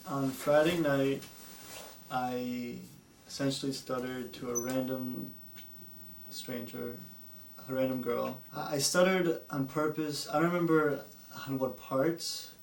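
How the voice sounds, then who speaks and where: A young man who stutters, talking candidly in a therapy session, halting and hesitant with many fillers. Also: mid-range voice, flat delivery, gravelly, echoey room, recorded from a distance, a little hiss or hum, low-quality recording. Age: young adult. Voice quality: stutters, with blocks and repeated words. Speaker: speech therapy client. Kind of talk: candid interview talk.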